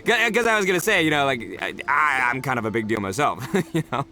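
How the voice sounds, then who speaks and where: An actor playing a brash, good-natured young oni gang boss in a game, boastful and informal. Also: male voice, medium pitch, expressive, brisk, sharp tone. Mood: flustered bragging.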